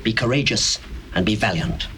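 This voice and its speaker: A man playing a commanding, vengeful young prince in a Bible drama, with forceful theatrical delivery and archaic phrasing. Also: lowish voice, normal speed. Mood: menacing command. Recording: faintly noisy old cassette tape.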